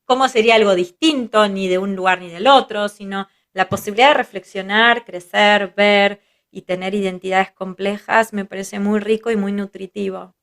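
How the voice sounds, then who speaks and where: An Argentine-accented woman talks through her ideas in a calm, reflective way, stringing thoughts together and checking in with little tag questions. Her voice sits at an ordinary middle pitch, and she speaks as a psychologist guest whose first language is Hebrew. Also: moderate pace. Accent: Argentine Spanish. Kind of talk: reflective interview conversation.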